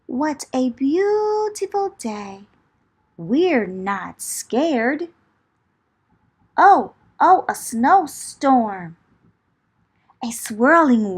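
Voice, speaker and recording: Woman, children's read-aloud, high voice, very slow pacing, theatrical, rhythmic delivery. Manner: dramatic, theatrical, with whispers and exclamations; deliberate rhythmic chanting of repeated phrases. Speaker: children's storyteller. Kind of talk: expressive picture-book read-aloud for children.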